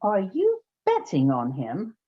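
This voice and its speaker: An actress playing a brisk, wry woman who runs an odd job agency in an old-time radio comedy. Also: medium pitch, wildly swooping pitch, husky, full character voice. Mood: wry, businesslike. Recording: slightly dull, muffled sound.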